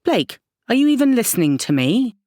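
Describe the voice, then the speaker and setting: A woman playing a stern counsellor in an audio drama, measured and pointedly questioning. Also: fairly high pitch, big pitch swings, dark tone, dry recording, studio quality. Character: stern, probing female counsellor challenging a client.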